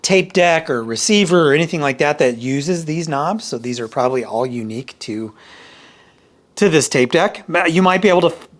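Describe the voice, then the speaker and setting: A man's voice: fairly high pitch, expressive, normal speed. A man giving a casual product review, rambling and correcting himself as he thinks aloud. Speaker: video reviewer of vintage hi-fi gear.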